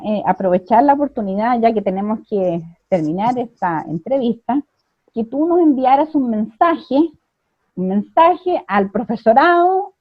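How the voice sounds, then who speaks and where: A Chilean woman hosting an interview, speaking in a measured way with frequent hesitations. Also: fairly high pitch, no echo. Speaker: interview host. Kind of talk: interview questions and comments. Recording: dry tinny phone-like line.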